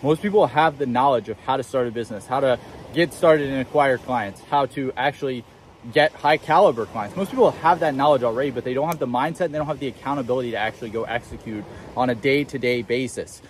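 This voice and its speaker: A man's voice: medium pitch, slight background noise. An eighteen-year-old man vlogging outdoors on a beach, giving emphatic motivational advice straight to camera. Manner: fast, emphatic self-help preaching. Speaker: young entrepreneur vlogger. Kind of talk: motivational vlog talk to camera.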